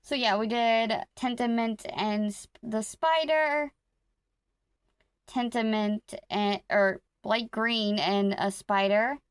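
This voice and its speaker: A woman vlogging casually about her crochet projects, rambling and correcting herself as she goes, her throat a little rough. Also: fairly high pitch, steady tone, slow pace, no echo. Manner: rambling, self-correcting, talks to viewers while working. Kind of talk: casual chatty vlog.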